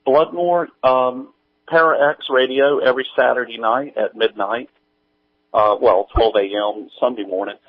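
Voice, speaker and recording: A man speaking as an interview guest, chatting casually in a halting, folksy, informal way. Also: medium pitch, fairly even intonation. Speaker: guest, audio drama creator. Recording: tinny, phone-like band-limited line.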